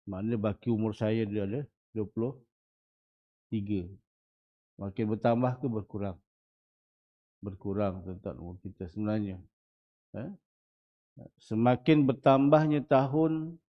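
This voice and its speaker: A man in his forties with a Malaysian Malay accent, a religious teacher giving a dawn lecture in a small prayer hall, often putting rhetorical questions to the audience. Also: mid-range voice. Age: 40s. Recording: muffled lo-fi recording.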